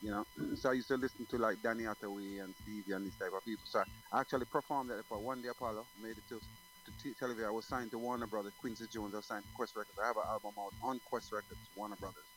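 A middle-aged man from Jamaica chats quickly about his career, his voice swinging widely in pitch. He circles back and restarts often in a relaxed way. A faint hum sits under the recording. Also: poor audio. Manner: relaxed, rambling with many restarts. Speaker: guest singer. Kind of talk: casual interview.

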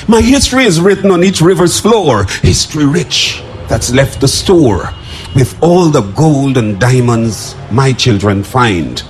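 A man performing a dramatic spoken-word poem in a declamatory, drawn-out delivery. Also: very animated, slight background noise. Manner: declamatory, drawn-out delivery with big swings in pitch.

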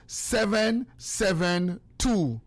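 A man with a lilt and a fairly high voice hosts a radio show. He sounds like an announcer, reading out numbers slowly and over and over. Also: lively intonation. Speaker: radio host.

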